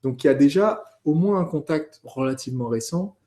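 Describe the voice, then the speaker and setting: A man's voice: a little high, expressive, normal speed, mellow. A man hosting a business training course, explaining and asking questions in a conversational way as he thinks aloud. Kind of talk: conversational teaching, interviewing a colleague.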